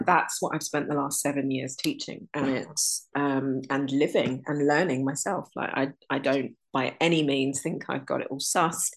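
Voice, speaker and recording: Woman, late thirties, husky, gravelly, earnest, unhurried. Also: low voice. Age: 30s. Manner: earnest, unhurried, lists things in runs. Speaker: guest, energy alignment teacher. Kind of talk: reflective interview talk.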